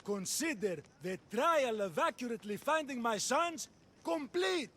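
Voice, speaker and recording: A man's voice: very high-pitched, lively intonation, a little slow, a bit bright, exaggerated voice. An actor playing an anxious, dramatic father in a video game. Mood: urgent, dramatic. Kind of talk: video game character acting.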